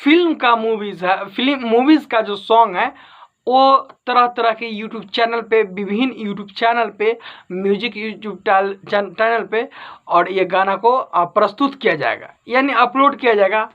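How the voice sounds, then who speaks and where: A man's voice: high voice, a little slow, muffled recording. A man giving informal entertainment news commentary as an online host, repeating and rephrasing his points for emphasis. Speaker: YouTube host.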